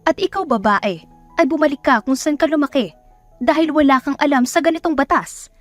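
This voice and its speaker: A woman plays an elderly judge in an audio drama, stern and dismissive. The voice is raised and highish, with an impatient, authoritative scolding manner. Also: fairly quick, no echo, soft background music, natural voice. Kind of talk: dramatic audio story acting.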